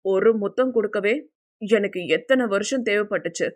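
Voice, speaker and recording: A woman's voice: mid-range voice, normal speed, voice pushed down, close to an everyday voice. A woman voicing a flustered young man in love, scolding affectionately in an audio novel. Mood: lovestruck, teasing. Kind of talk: Tamil audio novel character acting.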